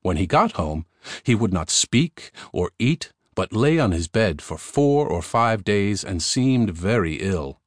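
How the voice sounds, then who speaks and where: A man's voice: lowish voice, sing-song, measured pace, no room sound, clean studio sound. A man narrating a fairy tale audiobook in a scripted storytelling style. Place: recording studio.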